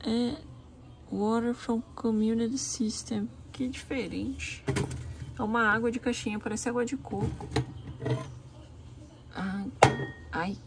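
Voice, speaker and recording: A woman's voice: a little high, fairly even intonation, takes it slowly, pauses often, heavy background noise. Chatty woman in her thirties vlogging. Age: 30s. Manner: chatty, exclaiming, addresses viewers. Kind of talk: casual vlog chat to camera. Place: hotel room. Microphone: handheld camera.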